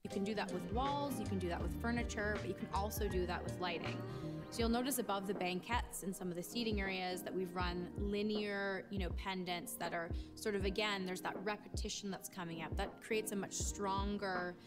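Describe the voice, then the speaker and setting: Young woman designer, mid-pitched, smooth explainer, loud music bed underneath. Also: fairly even intonation, brisk. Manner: fluent, explanatory, uses "you know". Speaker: interior designer. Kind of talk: documentary interview. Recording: interview clip with loud background music.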